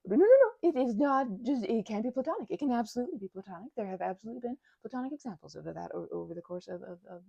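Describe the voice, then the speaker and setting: Woman acting a timid, stammering vampire, creaky soft voice. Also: a little high, expressive, rapid-fire, hardly any pauses, dry recording, dull audio, full character voice. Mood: flustered, stammering. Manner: stammering, flustered, nervous giggles, trailing into breathy murmurs. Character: timid, grateful, nervously rambling female vampire. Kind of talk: intimate audio roleplay to the listener.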